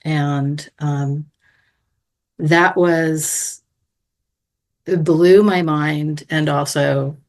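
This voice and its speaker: A woman with a notably deep voice talks very slowly, wandering through her thoughts and circling back to find the right words. She is a podcast guest on a remote call, in a relaxed conversational manner. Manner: meandering, thoughtful, searching for words. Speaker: guest, astrology student.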